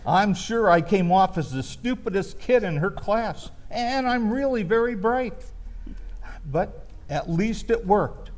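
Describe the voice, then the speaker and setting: A man telling a first-person comic reminiscence as a storyteller, in a wistful, hesitant manner with pauses. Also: high voice, lively intonation.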